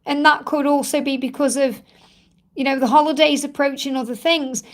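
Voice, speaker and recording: A woman with a croaky, tired voice hosting a live card-reading stream, soothing and reassuring, repeating her phrases. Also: high voice, fairly even intonation, moderate pace. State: croaky, tired voice from much talking. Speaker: tarot card reader and live-stream host.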